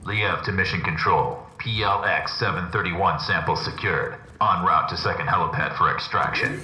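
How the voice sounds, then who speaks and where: An actor playing a gruff, cynical special agent in a video game, speaking in terse, sardonic military reports. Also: male voice, sits low, moderate pace, bright, forceful tone. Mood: terse, businesslike. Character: gruff, cynical male special agent, hardened war dog. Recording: echoey room, clear background noise.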